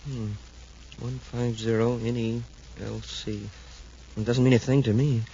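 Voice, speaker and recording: Man playing an excitable, boyish space cadet. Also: medium pitch, a little slow, natural voice. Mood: eager. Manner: boyish, exclamatory, deferential. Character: eager, excitable young male space cadet sidekick. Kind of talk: 1950s radio serial acting. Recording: muffled, hissy 1950s radio recording.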